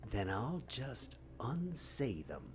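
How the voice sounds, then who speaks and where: An actor playing a defensive, wistful middle-aged retired stage magician and husband in an audio drama, speaking haltingly. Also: male voice, mid-range voice, big pitch swings, a little hiss or hum. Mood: rattled, stubborn.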